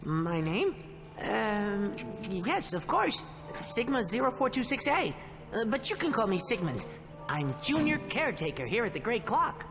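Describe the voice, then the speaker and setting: A man's voice: sits high, big pitch swings, measured pace, in a large hall, heavily acted. An actor voicing a fussy, nervous, eager-to-please little male caretaker robot, with game music behind.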